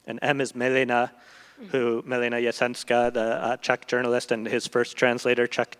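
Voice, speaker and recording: A man speaking as a guest translator in a literary discussion, explaining thoughtfully in long, halting sentences. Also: medium pitch, monotone, fairly bright tone, clean tone. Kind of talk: literary discussion before an audience. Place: large event hall, live public talk. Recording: echoey hall with long reverb.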